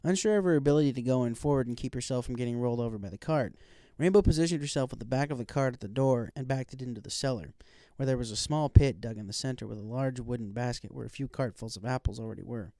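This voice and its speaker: A man's voice: mid-range voice, fast talker, few pauses, warm tone, dry recording. A man reading a scripted story aloud as an audiobook narrator.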